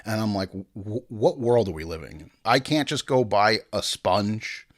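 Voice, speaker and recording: A man in his forties hosting a podcast, chatting casually in slangy, animated stories and acting out quotes. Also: lowish voice, normal speed. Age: 40s. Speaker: podcast host. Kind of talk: casual solo podcast talk.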